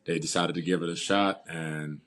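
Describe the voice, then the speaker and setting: A young man in his twenties from New York, a football player, answering interview questions in a calm, steady way. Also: deep voice, normal speed. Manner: calm, steady storytelling with "uh" and "you know".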